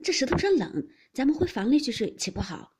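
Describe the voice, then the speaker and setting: A woman's voice: sits high, very animated, a little fast, noisy background, pitched above the performer's own voice. A woman voicing a playful teenage young master who teases mischievously. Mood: coaxing.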